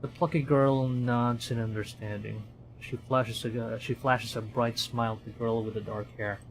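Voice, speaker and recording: A man's voice: medium pitch, little pitch movement, normal speed, a little hiss or hum, poor audio. A man from the Philippines, a streamer reading a horror visual novel aloud in a dramatic storytelling manner, with commentary.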